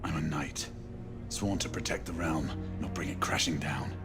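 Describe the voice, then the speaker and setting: A man's voice: extremely low pitch, steady tone, moderate pace, gravelly. A man playing a brooding, stern knight in a dramatic video game. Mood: grave, guarded. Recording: background music.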